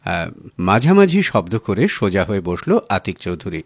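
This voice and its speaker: Man reading a story aloud, lively intonation. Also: sits low, normal speed, dry recording. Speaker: audiobook story reader. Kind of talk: expressive literary story narration.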